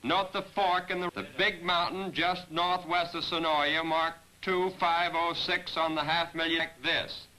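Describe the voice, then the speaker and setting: A man narrating a scripted military training film as a briefing, in a measured, directive manner, on a 1940s film soundtrack. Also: a little high, fairly even intonation, sharp tone, rough recording. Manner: measured, directive, addressing aircrews. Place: voice-over recording for a 1943 film.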